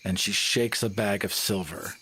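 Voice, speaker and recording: A man's voice: on the low side, fairly even intonation, moderate pace, sharp tone, slight background noise, poor audio. A man playing a tabletop roleplaying game, casually narrating his character's actions in a descriptive, cinematic way.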